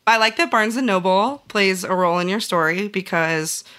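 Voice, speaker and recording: A woman's voice: mid-range voice, normal speed, bright, forceful tone, clear, resonant tone. A woman with an American accent chatting casually as a podcast host. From central Illinois, United States. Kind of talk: casual chat.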